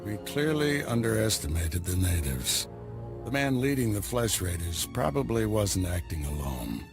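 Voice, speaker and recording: An older male jedi master, calm mentor, lively low voice, game music behind. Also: moderate pace, creaky. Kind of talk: video game voice acting.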